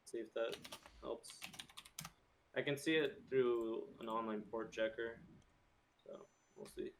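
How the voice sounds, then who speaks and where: A man of middling pitch chats informally about technical matters on an online call. Faint noise sits under the voice, along with typing and clicking. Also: fairly even intonation, slow. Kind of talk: casual technical chat.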